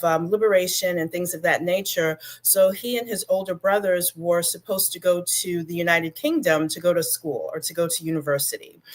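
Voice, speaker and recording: A woman from Brooklyn tells her story as a livestream guest. Her voice sits a bit low for a woman, and she speaks in an upbeat, articulate way over a video call. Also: a bit bright. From Brooklyn, New York. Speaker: guest, networking consultant. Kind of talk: livestream interview talk.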